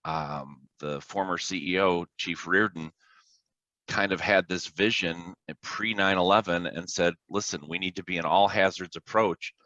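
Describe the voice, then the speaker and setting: Male guest, American, very low pitch with a forceful edge, informal explainer on a dry, slightly dull recording. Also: steady tone, a little slow, no room sound. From Illinois, United States. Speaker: podcast guest, fire dispatcher. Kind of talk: casual explanatory interview talk with anecdotes.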